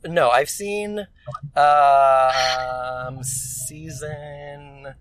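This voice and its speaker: A man's voice: fairly high pitch, very slow, a bit bright, no room sound, rough recording. A man from California with an American accent, chatting casually and hesitantly, often trailing off. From California, United States. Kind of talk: casual chat. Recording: noticeable ambient background noise.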